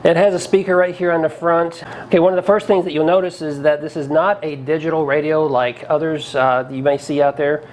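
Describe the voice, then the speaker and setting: Higher-pitched man reviewing gear, noisy recording. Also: steady tone, fairly quick. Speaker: product review host. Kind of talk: casual product review to camera. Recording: clearly noisy background ambience.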